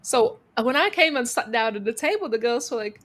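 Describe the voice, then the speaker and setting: A woman with a fairly high voice speaks as a guest on an interview show. She tells her own story earnestly and turns it into advice, repeating phrases for emphasis. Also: lively intonation, brisk. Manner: calls herself quiet-voiced. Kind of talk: reflective motivational storytelling.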